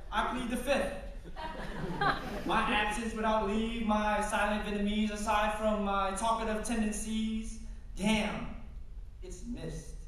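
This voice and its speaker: A man's voice: extremely high pitch, fairly bright tone. A young man performing spoken word poetry on stage at a campus event, with a rhythmic, rhyming delivery, punchy wordplay and casual joking asides to the crowd. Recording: echoey hall, distant mic, background noise. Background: audience ambience and laughter.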